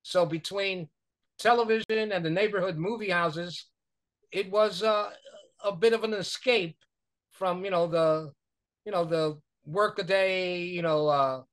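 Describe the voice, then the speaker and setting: A man's voice: sits high, measured pace. An older man from New York, a guest talking loosely over a video call, with many fillers and restarts. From New York, USA. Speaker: guest, author and publicist. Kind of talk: conversational interview. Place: small room at home. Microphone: video call webcam.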